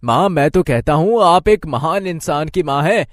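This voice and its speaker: A man's voice: fairly high pitch, animated, normal speed, no echo, close to an everyday voice. A boastful young village layabout from a cartoon moral tale, voiced by a man. He speaks in a raised, exclaiming voice that sounds whiny and self-important. The naive son is heard close and dry. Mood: boastful, indignant. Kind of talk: cartoon moral story voice acting.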